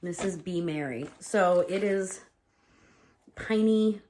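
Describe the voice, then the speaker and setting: A woman with a low voice, stuffy and congested from a cold, talks casually at home about her favorite scents. She is chatty and loose, filling her sentences with "um" and "like." Faint noise sits behind her. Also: takes it slowly, darkish tone. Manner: chatty, full of "um" and "like". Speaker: vlogger reviewing home fragrances. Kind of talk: casual product review chat.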